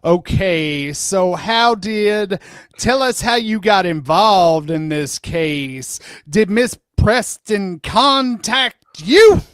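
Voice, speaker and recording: A man's voice: sits high, expressive, very slow, a bit bright, clean tone, dry recording, clean studio sound, exaggerated voice. A man playing a lawyer questioning a witness in a dramatic reading of a deposition, probing and rephrasing his questions.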